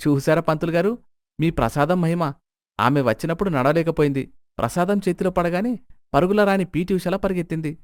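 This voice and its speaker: A man acts out a sarcastic village beggar in a moral tale. His fairly high voice is theatrical and sly, dripping with mock pity. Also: brisk, no room sound, studio quality, close to an everyday voice. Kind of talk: Telugu moral-story character acting.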